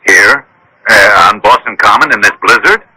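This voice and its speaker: An actor playing an anxious, credulous, well-mannered wealthy client in a vintage radio mystery, speaking earnestly and formally. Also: male voice, on the low side, moderate pace, sharp tone, breathy edge, no room sound, exaggerated voice, low-quality recording. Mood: anxious, flustered. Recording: old radio drama broadcast.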